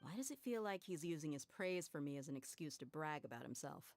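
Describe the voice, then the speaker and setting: An actress voicing a bold young female adventurer in a video game, steady and wry. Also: deep voice, fast, no echo. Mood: resolute.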